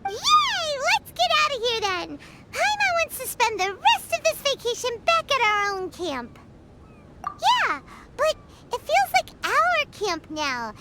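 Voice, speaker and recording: Tiny fairy girl companion, game character, piping squeaky voice, very animated, excitable, childlike. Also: slow pace, very noisy, heavily acted. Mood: cheerful. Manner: refers to self in third person, squeaky and exclamatory. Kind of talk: video game voice acting.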